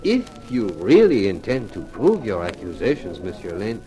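Discreet, deferential waiter, formal and animated, 1950s radio thriller, soft music underneath. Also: male voice, lowish voice, normal speed, poor audio. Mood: earnest, discreet. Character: polite, discreet waiter offering help.

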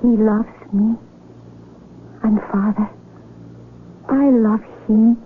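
High-voiced, earnest young daughter. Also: female voice, fairly even intonation, extremely slow. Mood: loving. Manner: respectful, sincere, pleading. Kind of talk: 1970s radio drama acting. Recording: muffled, noisy vintage AM radio recording.

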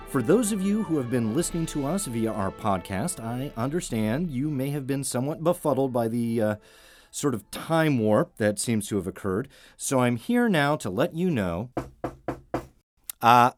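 A middle-aged American man hosting a radio introduction in a chatty, wry, digressive conversational way. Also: mid-range voice. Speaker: artistic director of an audio theater, host.

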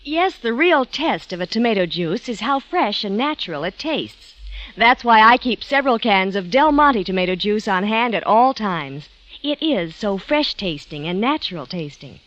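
An earnest housewife and mother gives a warm-hearted testimonial. Her pitch moves a lot. The old broadcast sound is dull and lo-fi. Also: sing-song, moderate pace. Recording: dull old radio recording.

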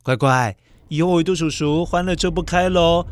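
A man's voice: fairly high pitch, normal speed, background noise, close to an everyday voice. A man playing a cheerful, theatrical story-show uncle with a Taiwanese accent and a secret space-captain side, in a children's radio play. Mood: breezy, confessing. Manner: playful, theatrical.

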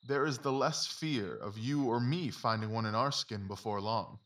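An actor playing a brisk, keen, self-assured male detective in a dramatised audiobook, with clipped, commanding speech. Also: mid-range voice, a bit bright, natural voice. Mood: brisk, absorbed.

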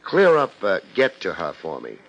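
Wry private eye, lively delivery. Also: male voice, sits low, moderate pace, exaggerated voice. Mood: wry, curious. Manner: dry, wry, deadpan. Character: laid-back, wry male private detective. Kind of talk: 1950s radio detective acting and narration. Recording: noisy vintage radio recording.

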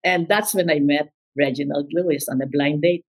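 A woman's voice: low voice, fairly even intonation, normal speed, slightly rough. An older woman with a Filipino accent, telling her life story as an interview guest in an emphatic, repeating way. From Philippines. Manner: emphatic, repeats words, quotes others.

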